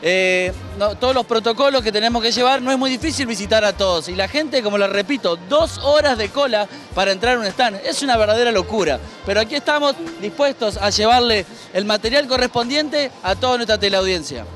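Male reporter, strikingly high voice, brisk, faint music, outdoors. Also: fairly bright tone. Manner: brisk, addressing the audience. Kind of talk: TV reporting to camera.

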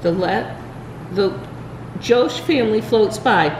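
An older woman reading a children's storybook aloud, expressive and deliberate, with small stumbles. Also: medium pitch. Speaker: library story time reader. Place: indoors in a large library room. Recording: roomy echo, faint background room noise.

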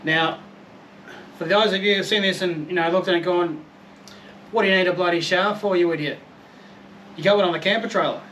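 A man's voice: sits high, fairly even intonation, brisk, long pauses, pushed and bright, slight background noise, rough recording. A man in his thirties with an Australian accent, chatting casually and self-deprecatingly as he unboxes and reviews camping gear outdoors. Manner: laid-back, chatty, self-deprecating, swears mildly. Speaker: camping vlogger. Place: outdoor patio, then a garage.

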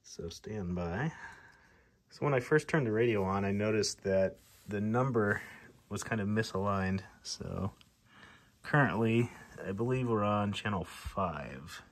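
A man's voice: on the low side, a little slow. A man from the United States giving a casual, plainspoken, matter-of-fact demonstration as a radio repair hobbyist. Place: indoor workshop at a workbench.

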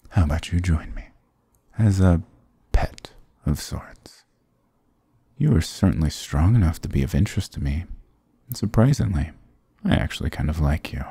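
A man's voice: warm tone, dry recording, studio quality, exaggerated voice. A man playing a sarcastic, dominant demon king in an intimate ASMR roleplay, smug, teasing and condescending, often slipping toward a whisper. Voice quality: very deep, gravelly, breathy. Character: sarcastic, dominant male demon king, playfully toying with captive.

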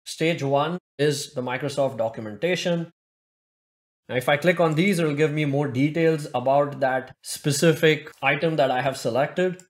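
A young man narrating a step-by-step screen-recorded software demo. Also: on the high side, normal speed. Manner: steady step-by-step walkthrough. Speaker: tech tutorial presenter.

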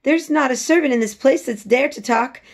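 A woman voicing a flustered older housekeeper in a storybook, exclaiming in alarm, with a slightly husky voice. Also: sits high, moderate pace, pitched above the performer's own voice. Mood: flustered, pleading.

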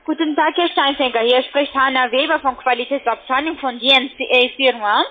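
A woman working as a call centre agent, making a sales call in halting, non-native phrasing over a phone line. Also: high-pitched, steady tone, a little hiss or hum. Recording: tinny narrow phone line.

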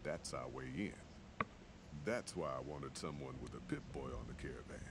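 An actor playing a gruff, plain-spoken middle-aged caravan master in a video game, with a deep drawl. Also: male voice, sing-song, moderate pace, heavy background noise, exaggerated voice.

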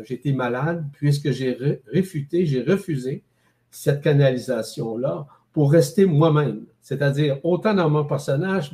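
An older man with a Québécois French accent speaks over a video call from his home. His voice is mellow and dark, and he talks in a measured way, pausing often to find his words. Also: a little high. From Quebec City, Canada. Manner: slow, measured, many hesitations. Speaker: guest. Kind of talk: spiritual interview talk. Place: home room with plants.

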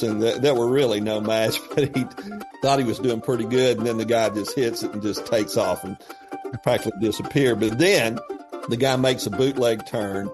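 A man casually telling a story, hesitating and repeating words as he goes. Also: fairly low pitch. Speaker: history expert interviewee. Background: plucked string music.

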